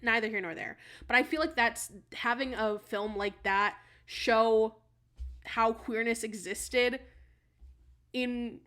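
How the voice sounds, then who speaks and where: A woman's voice: a little high, bright, forceful tone. A young American woman making a case in casual podcast talk, rambling and repeating words as she goes. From United States. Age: young adult. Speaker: podcast panelist.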